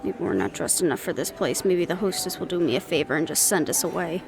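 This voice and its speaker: A woman's voice: on the low side, animated, quick pace, gravelly, over music. A woman acting in an audio roleplay as a sardonic, nervous young woman who mutters dry asides to her mate. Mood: nervous, wry.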